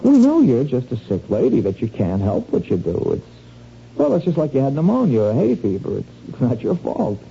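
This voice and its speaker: An actor playing a sly small-time crook in a radio drama, wheedling and insinuating in folksy, slangy talk. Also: male voice, mid-range voice, wildly swooping pitch, moderate pace, gravelly, dark tone. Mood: smooth, menacing. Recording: dull, noisy lo-fi radio recording.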